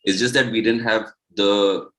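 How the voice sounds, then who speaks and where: Low-voiced young man from India. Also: measured pace. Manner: relaxed, loose, many "like" fillers. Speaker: musician, duo member. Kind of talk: casual interview chat.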